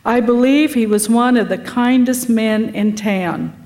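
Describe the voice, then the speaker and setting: A woman's voice: on the high side, a little slow, darkish tone. A woman hosting a memorial gathering, reading a tribute and addressing those present. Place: memorial gathering at an art center.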